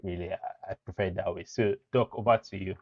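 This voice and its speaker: A man's voice: deep voice, fairly even intonation, fast talker. A man hosting a casual interview show, easygoing and laughing along with his guest. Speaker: host. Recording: dull dry lo-fi recording.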